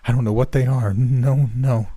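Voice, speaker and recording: Frightened mother, urgent insistence, lifted pitch, storybook voice, read by a man. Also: fairly even intonation, moderate pace, warm tone, no room sound, natural voice. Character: shaken, frightened farm mother.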